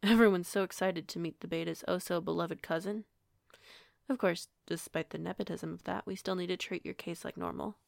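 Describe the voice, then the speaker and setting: A low female voice with heavy fry, recorded close and dry, gives us a werewolf pack leader, acted by a woman in an audio roleplay. She is composed and kindly, with formal, measured authority. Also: fairly even intonation, dry recording. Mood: composed, amused.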